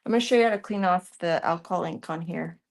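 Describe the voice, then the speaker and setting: A woman's voice: fairly low pitch, quick pace, gravelly. A woman with a Canadian accent hosting a live art demonstration. She talks through her work as she goes, answers viewers' questions, and often trails off mid-sentence. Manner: chatty, thinks aloud while working, trails off mid-sentence. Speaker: art tutorial host.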